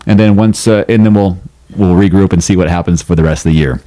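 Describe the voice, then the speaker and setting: A man co-hosting a podcast in relaxed, chatty conversation with frequent restarts. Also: deep voice, fast talker. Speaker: podcast co-host. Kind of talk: casual chat.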